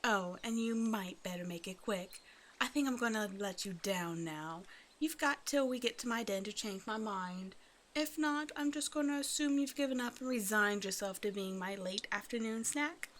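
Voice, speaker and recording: A woman performs a predatory female naga, half snake, in an ASMR audio roleplay. Her medium-pitched voice toys with the listener, smug and condescending under a sugary, teasing surface. Noticeable ambient noise sits behind her. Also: moderate pace, a bit bright, natural voice. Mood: playfully threatening. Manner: teasing, condescending, mock-sweet pet names.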